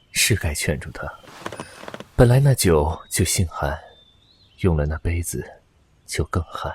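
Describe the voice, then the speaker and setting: An actor playing a lovesick young immortal prince, tender and wistful, in a Chinese audio drama. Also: male voice, deep voice, very animated, slow pace, pauses often, a little hiss or hum, full character voice. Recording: echoey, with loud background music.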